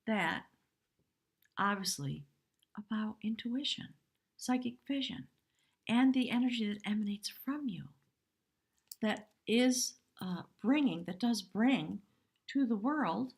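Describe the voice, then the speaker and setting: A woman with a hoarse voice giving a live spiritual tarot reading in measured, reverent short phrases. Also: fairly high pitch, expressive. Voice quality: husky, breathy. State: hoarse from much talking. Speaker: tarot reader, live stream host.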